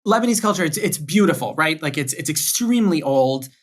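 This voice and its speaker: Young guy from miami on a podcast, chatty, thinks out loud, repeats words as he goes. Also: on the high side, expressive, a little fast. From Miami, United States. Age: young adult. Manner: thoughtful, with frequent restarts and repeated words. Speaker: podcast guest. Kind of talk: conversational interview.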